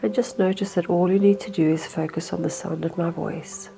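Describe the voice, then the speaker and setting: A woman leading a slow, hypnotic guided meditation in a soothing, hushed manner with unhurried phrasing. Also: on the low side, fairly even intonation, vocal fry, faint music behind.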